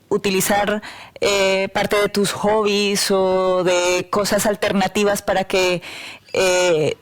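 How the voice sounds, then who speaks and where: Measured, firm woman. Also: mid-range voice. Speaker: panelist. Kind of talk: panel discussion. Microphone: video call.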